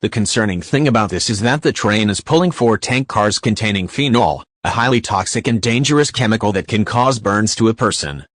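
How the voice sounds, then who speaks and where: Man playing a low, composed news anchor. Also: lively intonation, brisk, few pauses, a bit bright, no room sound, studio quality. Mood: composed, serious. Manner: formal, matter-of-fact. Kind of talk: scripted news report.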